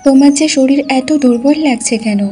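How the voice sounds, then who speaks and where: A woman's voice: high-pitched, fairly quick, dark tone, breathy edge. A woman playing a caring, anxious village wife in a cartoon story, speaking with soothing concern.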